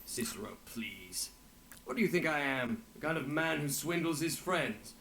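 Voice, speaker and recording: Theatrical, swooping jovial innkeeper. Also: male voice, fairly high pitch, lively intonation, normal speed, background noise. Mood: playful, generous. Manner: theatrical, effusive. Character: jovial, generous male innkeeper, theatrical old friend. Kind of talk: video game voice acting.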